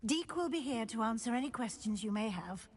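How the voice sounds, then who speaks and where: Middle-aged female wizarding professor, composed, instructive, high-pitched, long hall reverb, video game dialogue. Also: expressive, slightly rough. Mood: encouraging. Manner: measured, instructive, formal.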